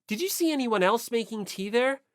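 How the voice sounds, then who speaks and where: An audiobook reader voicing an earnest, polite high school boy who speaks up a little tentatively. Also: lively intonation, normal speed, no room sound, clean studio sound, lightly acted. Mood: earnest inquiry. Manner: eager, tentative.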